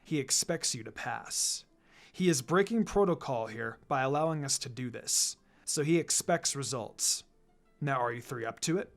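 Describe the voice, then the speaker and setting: A reader voicing a stern, cold male ninja commander who trains students, speaking in a commanding, deliberate, menacing way in a fan fiction reading. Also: mid-range voice, lively intonation, voice pushed down, close to an everyday voice. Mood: stern, demanding. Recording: music under the voice.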